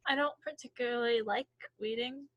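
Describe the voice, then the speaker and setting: A woman with a fairly high voice chimes in casually on a story. Her comments are short and wry, and the sound is close and dry. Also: fairly even intonation, a little fast, fairly bright tone, dry recording, muffled recording. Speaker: listener and co-reader.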